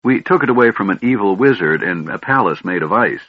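A man's voice: on the low side, lively intonation, a little fast, breathy edge, pitched above the performer's own voice. Man doing a chirpy eager little kender. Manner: bright, chatty and eager. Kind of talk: audiobook character voice. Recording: dull, slightly lo-fi recording.

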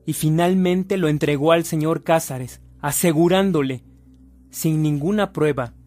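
A man plays a male narrator telling a religious radio drama solemnly. His voice sits a little high, and music runs softly beneath it. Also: measured pace, no echo, lightly acted. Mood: storytelling.

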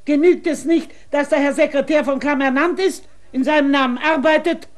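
A woman's voice: sits high, fairly even intonation, moderate pace, lightly acted. A woman playing a scolding village innkeeper. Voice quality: scolding, cutting. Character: sharp-tongued female village innkeeper. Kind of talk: radio drama acting.

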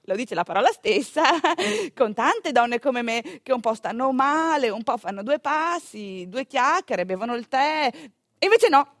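High-pitched, sarcastic expectant mother. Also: measured pace, no room sound, clean studio sound, heavily acted. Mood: wry storytelling. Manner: animated, exclaiming, ironic asides to the audience. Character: anxious, chatty expectant mother in labour, over-polite and sarcastic. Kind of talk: comic theatrical monologue for radio.

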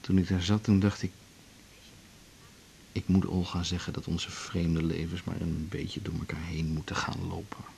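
An actor playing a tender, wistful musician lover, murmuring hesitantly in a naturalistic radio play. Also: male voice, deep voice, little pitch movement, normal speed, creaky, a little mellow. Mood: wistful, affectionate. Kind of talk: naturalistic radio drama acting. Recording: faint hiss on 1980s radio recording.

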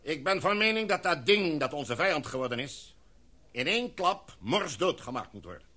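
A commanding expedition chief, played by a man, holds the floor of a meeting in a radio drama. His voice is bright and forceful, a little high for a man, and his tone stays formal and decisive. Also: sing-song, moderate pace, exaggerated voice. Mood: measured. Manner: formal, speech-like address to a meeting. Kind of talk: radio play acting.

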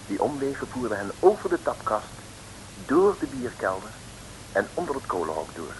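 An actor playing a droll storyteller, narrating an adventure serial for radio with mock-dramatic suspense. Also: male voice, mid-range voice, big pitch swings, normal speed, lightly acted, poor audio. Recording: faintly hissy old radio recording.

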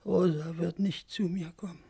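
An actor in a 1950s radio drama playing an anxious, fearful man who speaks haltingly and repeats his words. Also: high-pitched, steady tone, gravelly, dark tone, breathy edge, heavily acted. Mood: weak, resigned. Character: anxious man named Anton, faltering and fearful.

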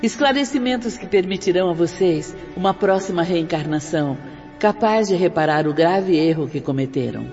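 A woman's voice: lowish voice, expressive, normal speed, a little mellow. An actress playing an earnest female spirit teacher in a radio drama, with formal, preaching diction. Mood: earnest, hopeful. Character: Sister Doris, earnest female spirit teacher of moral re-education. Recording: dull reverberant recording with music.

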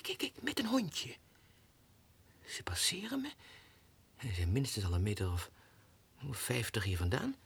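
Husky, unhinged muttering clerk. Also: male voice, mid-range voice, heavily acted. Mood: startled. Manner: halting, muttering, talking to himself. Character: unhinged, muttering male low-ranking clerk, excitable and self-doubting. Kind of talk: 1960s Dutch radio drama monologue.

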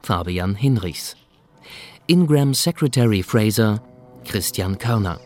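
A man's voice: fairly low pitch, animated, slow, darkish tone. A man reading radio play credits as a scripted announcement. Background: faint music.

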